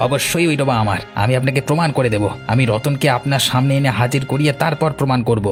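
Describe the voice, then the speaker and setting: A man's voice: mid-range voice, fast talker, lightly acted. A man playing a belligerent cartoon village bully, boastful, indignant and challenging. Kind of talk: dubbed cartoon dialogue. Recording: music bed behind the voice.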